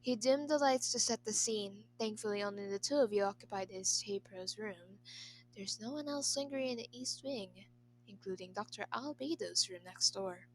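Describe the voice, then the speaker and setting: A woman's voice: medium pitch, moderate pace, slight background noise. A woman narrating a scripted story as an amateur reader. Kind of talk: scripted narration of a fan fiction.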